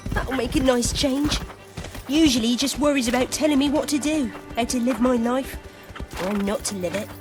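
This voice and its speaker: An actress playing an earnest young woman searching for her father and longing for an exciting life. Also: sits high, moderate pace, natural voice. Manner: earnest, wistful. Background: game score music.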